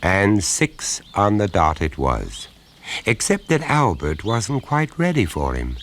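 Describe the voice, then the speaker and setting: An actor narrating a radio play as a wry, measured male storyteller of a suburban tale. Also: lowish voice, wildly swooping pitch, a bit bright, full character voice. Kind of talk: scripted radio drama narration. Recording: 1970s radio play recording.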